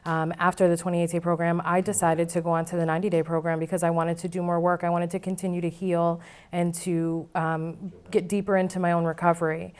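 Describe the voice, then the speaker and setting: A woman gives candid testimony as an interview guest. Her voice is smooth and a little low, and it stays very level as she talks. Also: level tone, fairly quick, a little mellow. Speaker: interview guest, former client now board member. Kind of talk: candid personal testimony in conversation.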